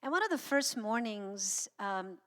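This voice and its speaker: A middle-aged woman from Croatia with a Croatian accent, giving an informal inspirational talk with halting, anecdotal storytelling. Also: mid-range voice, lively intonation, fairly bright tone. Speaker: guest speaker and singer. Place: church sanctuary, speaking to an audience. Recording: long hall reverb.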